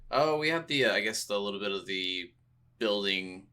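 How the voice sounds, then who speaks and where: A man's voice: lowish voice, expressive, moderate pace, pushed and bright, clear, resonant tone. A man co-hosting a podcast, chatting informally about video games and swearing freely. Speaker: podcast co-host. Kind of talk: casual video game review chat.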